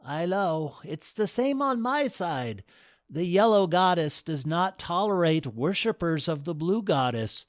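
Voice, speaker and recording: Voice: mid-range voice, big pitch swings, a little slow, dry recording, clean studio sound, voice pushed up. A narrator doing a worried young groom.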